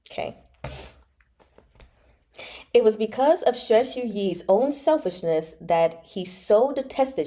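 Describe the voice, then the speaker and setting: Female read-along host, relaxed dark-toned voice, casual and expressive delivery for novel reading and commentary. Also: medium pitch. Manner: informal, slangy, expressive commentary. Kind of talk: reading a novel aloud with casual reaction asides.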